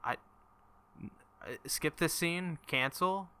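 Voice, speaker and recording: Bright-voiced man reading a visual novel. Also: fairly high pitch, slow pace, clean tone. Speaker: let's play video host.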